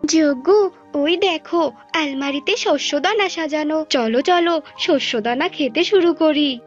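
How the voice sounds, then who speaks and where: Woman voicing a high-pitched, vain bird wife. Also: unhurried. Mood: delighted, vain. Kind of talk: Bengali cartoon bird-fable acting. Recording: dull lo-fi audio with music.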